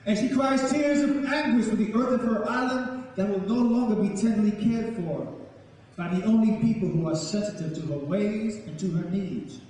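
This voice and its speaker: A man's voice: high-pitched, normal speed, warm tone. A middle-aged man, a poet performing and talking to an audience from a stage. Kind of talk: live spoken-word performance with informal remarks to an audience. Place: campus event hall, live audience. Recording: echoey hall, distant mic, faint noise.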